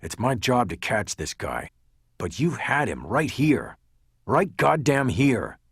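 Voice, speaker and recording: A man voicing a gruff, angry, confrontational police detective in an audiobook crime novel. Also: mid-range voice, big pitch swings, takes it slowly, no echo, studio quality, voice pushed up, full character voice. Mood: tense, insistent. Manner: barking, confrontational, insistent.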